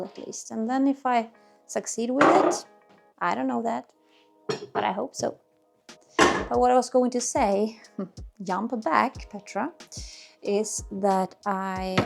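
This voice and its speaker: A woman's voice: mid-range voice, vocal fry. A woman with a Swedish accent, probably in her thirties, chatting casually to camera while cleaning her kitchen, often searching for words and restarting sentences. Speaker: cleaning vlogger. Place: home kitchen. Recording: music playing underneath. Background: dishes clinking, quiet music.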